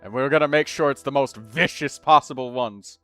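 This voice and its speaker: A man hosting a gaming stream, chatting casually with friends, excitable and swearing, now and then bursting into loud shouts. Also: medium pitch, moderate pace, dry recording, faint music behind. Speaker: streamer host.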